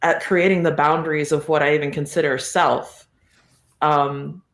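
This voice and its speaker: A podcast guest with a mid-pitched voice, talking casually and reflectively in a meandering, thoughtful way with a readiness to chuckle. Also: steady tone, moderate pace, fairly bright tone. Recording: roomy, echoey sound.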